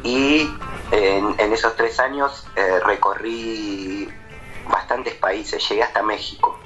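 An Argentine man in his thirties, a painter answering interview questions casually, with hesitant pauses and restarts. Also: on the low side, fairly even intonation, a bit bright, muffled recording, soft background music. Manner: hesitant, many fillers and restarts.

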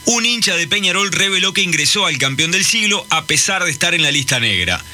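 A man with a Uruguayan accent delivering a field news report in a measured, formal way. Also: high voice, very animated, bright, forceful tone, soft background music. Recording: street traffic noise behind.

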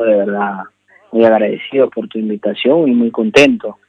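A Venezuelan man in his thirties answers a radio interview politely, with halting repetitions. His voice is rough and creaky. The sound is dry and dull, like a call into the show. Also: fairly low pitch, no echo. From Maracaibo, Venezuela. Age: 30s. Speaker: jockey, interview guest.

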